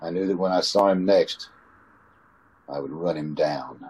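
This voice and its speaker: A man's voice: sits low, a little slow, a little mellow, rough recording. A man playing a haunted, uneasy motorist in an old-time radio suspense drama, recorded over a video call. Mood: brooding dread. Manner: brooding in narration, hesitant and stammering in dialogue. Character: haunted, uneasy male motorist narrating his ordeal. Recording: video call with clear background noise.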